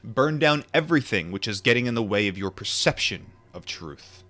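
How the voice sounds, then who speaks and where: A man reading a book aloud and adding informal asides, in a measured, deliberate manner. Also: medium pitch, expressive, bright, forceful tone, soft background music. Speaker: audiobook reader and commentator.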